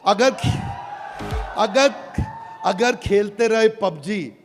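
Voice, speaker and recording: A man giving an energetic stage keynote to a live audience, emphatic and crowd-rousing, calling out for responses. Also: sits high, extremely slow, faint music behind. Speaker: motivational business speaker launching an app. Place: large auditorium with a live audience. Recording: reverberant hall, crowd and noise.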